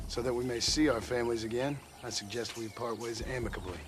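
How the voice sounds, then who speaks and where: An actor playing a gruff American cowboy gunslinger in a game cutscene, dry, measured and sardonic with polite menace. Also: male voice, medium pitch, fairly even intonation, very noisy, close to an everyday voice. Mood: guarded, dry. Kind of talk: video game cutscene acting.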